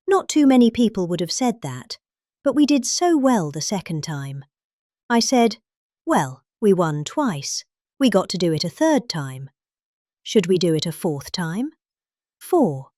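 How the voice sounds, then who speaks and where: Middle-aged British female reader for a political speech, highly animated pitch, dry close sound. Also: no room sound. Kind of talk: dramatic reading of a political speech.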